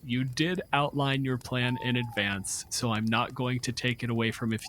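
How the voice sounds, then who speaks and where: A man's voice: mid-range voice, steady tone, moderate pace, a bit bright, slight background noise. A man running a tabletop game as game master, narrating and explaining rulings in a casual, profane way.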